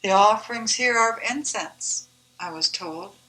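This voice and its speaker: A woman reciting her own devotional poem in a measured, deliberate, reverent manner. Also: mid-range voice, bright, forceful tone, low-quality recording. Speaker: author reading own poem.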